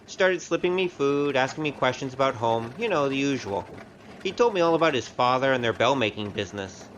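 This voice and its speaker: A man's voice: mid-range voice, animated, brisk, a bit bright, a little hiss or hum, natural voice, poor audio. A man playing a breezy, chatty wandering rogue with a wry streak in an audio drama.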